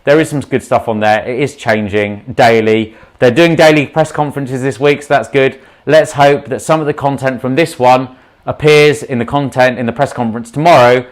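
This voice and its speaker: A man's voice: mid-range voice, normal speed. A man, a doctor, talking unscripted to viewers on a livestream in an outspoken, impassioned way that rambles into asides, with a slight sore throat and sniffle. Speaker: doctor giving a livestream talk.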